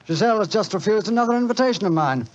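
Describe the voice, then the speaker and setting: Highish-voiced aristocratic Englishman. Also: lively intonation, rapid-fire. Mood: peevish, rueful. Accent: British. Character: aristocratic, petulant English gentleman travelling incognito. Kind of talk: 1940s radio drama acting. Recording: muffled, faintly noisy 1940s radio recording.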